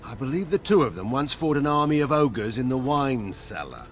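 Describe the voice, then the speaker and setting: Stern, weary general, swooping intonation. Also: male voice, medium pitch, big pitch swings. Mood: wistful, fond. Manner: grave, measured, formal, dryly sardonic. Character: proud, weary, stern middle-aged general and father. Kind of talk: dramatic fantasy game dialogue.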